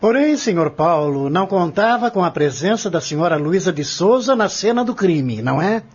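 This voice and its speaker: A stern, formal lawyer cross-examines in an audio drama, played by a man. His voice is high for a man and very lively, with pointed, accusatory phrasing. The sound is somewhat muffled and lo-fi. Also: normal speed, slightly rough. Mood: accusing. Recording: dull lo-fi audio.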